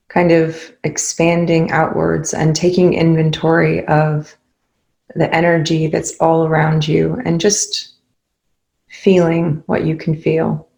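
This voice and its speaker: Female meditation guide: low-pitched, gravelly fry, calm and unhurried delivery with roomy pauses between phrases. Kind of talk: guided meditation, spoken softly to listeners.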